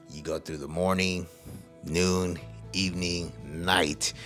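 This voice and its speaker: A man from El Salvador hosting a podcast, speaking casually and motivationally in an earnest, emphatic way. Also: very deep voice. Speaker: podcast host.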